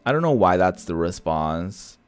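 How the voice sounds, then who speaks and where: Low-voiced man doing playful game commentary. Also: big pitch swings, moderate pace, smooth. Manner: playful, campy, chatty asides. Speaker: video game streamer. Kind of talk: casual let's-play commentary, reading dialogue aloud.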